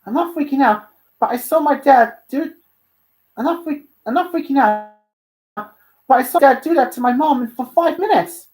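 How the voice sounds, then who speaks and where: A woman voicing an excitable young boy in a dramatic, exclaiming story character voice. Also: very high voice, moderate pace, voice pushed up, poor audio. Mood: flustered. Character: excitable young boy, nephew of a demon prince.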